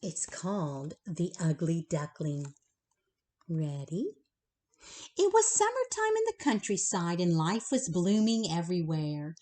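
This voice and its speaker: A woman reads a children's story aloud at bedtime. Her delivery is unhurried and expressive, with pitch that swings widely. She speaks directly to her young listeners as a storyteller would. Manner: slow, animated, pausing to address the child listeners directly.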